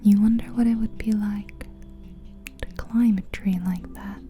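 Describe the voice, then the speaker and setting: Very soft whispering woman, slow and dark. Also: mid-range voice, steady tone. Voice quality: breathy whisper. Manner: gentle, soothing, unhurried with long pauses. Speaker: ASMR guide narrating a guided visualization. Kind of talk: soft-spoken ASMR guided visualization. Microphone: close-up ear-to-ear ASMR microphone.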